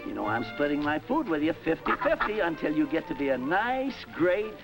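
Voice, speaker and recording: High-pitched, blustering army sergeant. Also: male voice, very animated, brisk, low-quality recording. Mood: coaxing. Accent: American. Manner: slangy, blustering. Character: blustering, exasperated American army sergeant, greedy schemer. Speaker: film actor. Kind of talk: 1950s film comedy acting. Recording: old 1950s film soundtrack with music.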